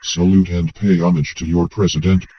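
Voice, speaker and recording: A man voicing a tall, rustic old male chieftain who bellows gruffly in a story reading. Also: sits low, flat delivery, moderate pace, natural voice. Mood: proud. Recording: dull lo-fi with hiss.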